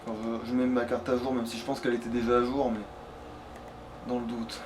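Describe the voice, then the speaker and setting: A man's voice: medium pitch, monotone, fast talker. A man doing casual, slangy let's play commentary with a friend, swearing freely as he talks. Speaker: let's player. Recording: background noise and game ambience. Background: video game sound.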